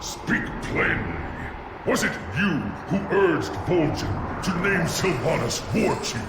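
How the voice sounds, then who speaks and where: A man voicing an earnest, honorable young chieftain in a game cutscene, with grave, formal, measured delivery. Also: fairly high pitch, animated. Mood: demanding. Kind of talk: solemn video game cutscene acting. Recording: game audio with clear background noise.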